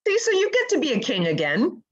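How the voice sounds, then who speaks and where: Playful woman with swooping, animated pitch. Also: brisk, highs cut off. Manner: playful, enthusiastic. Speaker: actor in a play reading. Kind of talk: casual chat.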